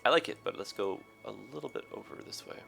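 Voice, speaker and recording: A man with a raspy, hoarse, tired voice, rambling through casual game commentary with many ums and self-corrections. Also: on the low side, quick pace, a bit bright, faint music behind. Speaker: gaming video host. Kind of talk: casual let's play commentary.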